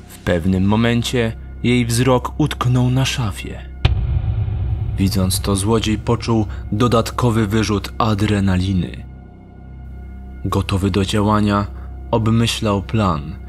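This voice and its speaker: A man narrating a scripted dark story as an audio drama narrator, in measured, literary style. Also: lowish voice. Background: music.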